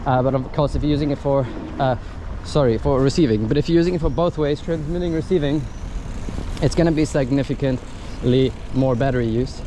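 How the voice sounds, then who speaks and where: A man's voice: medium pitch, fairly quick. A man giving a casual, unscripted product review in an informal, thinking-aloud way, recorded outdoors on a city street. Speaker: gadget reviewer and vlogger. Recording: noisy outdoor street with traffic ambience. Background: urban traffic and street noise.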